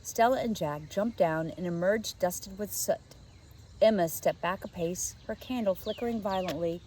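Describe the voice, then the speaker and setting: A woman's voice: medium pitch, moderate pace, a little mellow. Woman reading a storybook, faint background noise. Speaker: teacher reading aloud. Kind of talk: expressive children's storybook narration.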